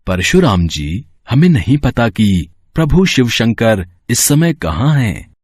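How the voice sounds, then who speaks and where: A man's voice: medium pitch, very animated, slow, darkish tone, breathy edge, dry recording, clean studio sound, full character voice. A man playing a respectful attendant of a god, speaking formally and deferentially in a mythological story. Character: respectful male divine attendant, gatekeeper of Shiva.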